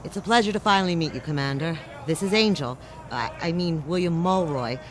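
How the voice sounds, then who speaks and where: A woman's voice: fairly low pitch, a little fast, natural voice. An actress playing a tough, blunt, slangy woman who runs a mining outpost in a science fiction radio drama. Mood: nervous, evasive. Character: brash, hard-bitten woman boss of a mining outpost.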